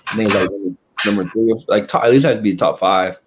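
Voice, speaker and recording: Halting young man, lowish voice. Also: background noise. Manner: halting, with frequent restarts and fillers. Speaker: guest. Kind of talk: casual conversational interview.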